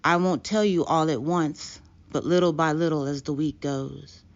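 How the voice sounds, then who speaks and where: A calm, solemn blind street seer , recited by a woman, foretells troubles in rhyming narrative verse. The man speaks like an oracle, foreboding and steady. The recording is dull and lo-fi. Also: low voice, moderate pace. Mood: solemn foretelling.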